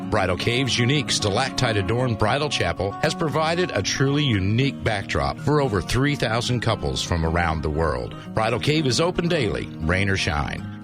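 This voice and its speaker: A man reading a scripted commercial voice-over in an inviting announcer manner. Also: on the low side.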